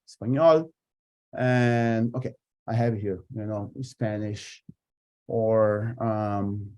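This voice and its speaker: A man whose first language is Portuguese gives a casual live software demo, haltingly thinking aloud as he goes. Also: mid-range voice. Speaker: software presenter. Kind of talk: live screen-share demo, casual explanation.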